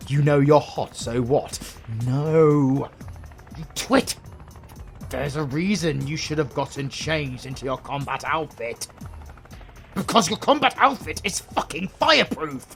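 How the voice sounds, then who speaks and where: A man's voice: on the high side, unhurried, vocal fry, a bit bright, faint music behind. A British man playing a theatrical supervillain in a romantic comedy audio roleplay, swinging between menace and exasperation at the heroine he is smitten with. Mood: exasperated, panicked. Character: flustered theatrical male supervillain, smitten with his heroine rival.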